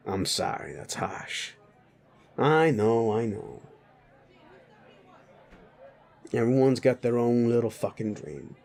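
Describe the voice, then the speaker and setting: A man's voice: medium pitch, vocal fry, darkish tone. A man playing a sleazy, bullying, foul-mouthed werewolf boss in a roleplay audio drama, blunt and needling. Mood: gruffly conceding. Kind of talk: immersive roleplay audio drama addressed to listener.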